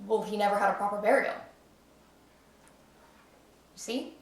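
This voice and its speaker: A woman's voice: mid-range voice, steady tone, normal speed, lightly acted. Stubborn, earnest, talkative woman played by an actress. Naturalistic drama, insistent delivery, a little far from the mic in a roomy space. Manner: insistent, rambling, self-deprecating. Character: earnest, stubborn, chatty woman who believes in ghosts. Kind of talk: naturalistic film drama acting. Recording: echoey room, distant mic, faint noise.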